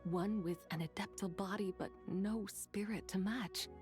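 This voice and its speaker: A woman voicing a courteous, wise immortal in a video game, with formal, measured, old-fashioned delivery. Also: medium pitch, steady tone, darkish tone, soft background music, close to an everyday voice. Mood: grave, composed. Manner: formal, measured, archaic wording.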